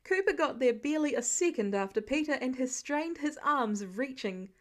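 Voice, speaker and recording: A woman with a high voice reads prose fiction aloud. Her delivery is expressive, scripted storytelling in the manner of an audiobook. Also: moderate pace. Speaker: audiobook-style fan fiction reader.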